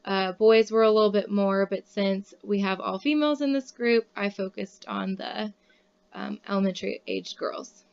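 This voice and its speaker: A young woman from Kansas City gives a class presentation in a smooth, clear voice. She keeps a level tone and reads from notes, with frequent "um" fillers. The recording sounds slightly dull. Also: mid-range voice, steady tone, moderate pace, darkish tone. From Kansas City, Missouri, USA. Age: young adult. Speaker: student presenter.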